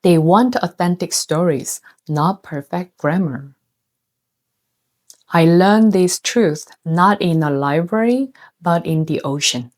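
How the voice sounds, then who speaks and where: Korean-accented female host, 30s, lowish pitch, earnest scripted video-essay read. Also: expressive, slow pace, a little mellow. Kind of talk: scripted narration.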